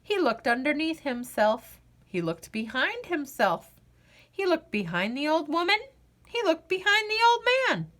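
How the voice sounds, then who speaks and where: A woman reading a children's storybook aloud as a storyteller, in a deliberate, dramatic, expressive way. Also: high voice. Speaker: storyteller, host of a read-aloud show.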